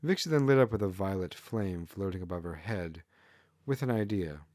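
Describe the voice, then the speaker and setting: A man's voice: low voice, animated, normal speed, a little mellow, dry recording, clean studio sound. A man reading scripted narration from a fantasy novel as its storyteller. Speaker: author narrating own novel.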